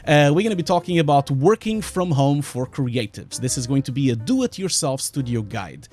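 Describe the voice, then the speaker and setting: A man's voice: mid-range voice, expressive, moderate pace, darkish tone, soft background music. A man in his forties from Portugal with a Portuguese accent, presenting a webinar from his home studio in a chatty, self-interrupting way with frequent fillers.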